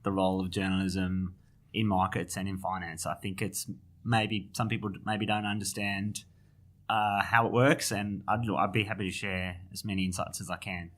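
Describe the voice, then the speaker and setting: A man's voice: low-pitched, little pitch movement, normal speed. A man with a South African accent, a finance journalist answering interview questions in a thoughtful, conversational way. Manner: thoughtful, with frequent restarts and soft laughs.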